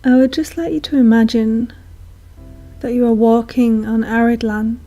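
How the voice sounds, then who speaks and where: A woman leads a guided meditation in a soothing, hushed manner, leaving long pauses. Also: fairly high pitch. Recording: soft music behind voice. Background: calm meditation music.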